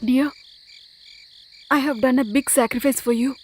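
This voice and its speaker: A woman's voice: high-pitched, steady tone, normal speed, music in the background, lightly acted. A woman playing a young bride in a dubbed film, speaking earnestly and pleading. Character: young bride in love with the groom's friend.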